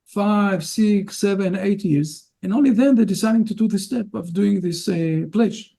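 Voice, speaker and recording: Gravelly high-pitched man, halting English. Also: dark tone. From Israel. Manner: halting non-native English with frequent fillers. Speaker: rabbi. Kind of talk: interview guest.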